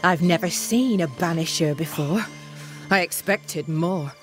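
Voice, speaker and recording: An actress playing a tough, blunt frontier camp leader in a video game, speaking curtly. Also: female voice, on the low side, measured pace, faint music behind, close to an everyday voice. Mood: wary. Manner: curt, commanding. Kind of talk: video game cutscene acting.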